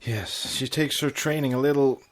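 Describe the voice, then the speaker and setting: A man's voice: medium pitch, normal speed. A man chatting casually as a podcast co-host. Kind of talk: casual chat.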